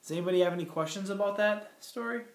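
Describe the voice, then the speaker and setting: A man's voice: high voice, quick pace. Young male teacher with a head cold, reads aloud plainly, roomy classroom sound from a distance. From Minnesota. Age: young adult. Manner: plain teacherly reading, many fillers. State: has a cold. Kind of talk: classroom lesson and story read-aloud.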